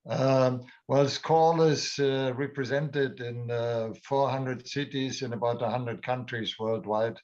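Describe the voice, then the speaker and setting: A man speaks slowly over muffled, lo-fi call audio. He greets everyone warmly and tends to repeat his words. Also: medium pitch, steady tone. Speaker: tourism club president. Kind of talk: casual remarks. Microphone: video call.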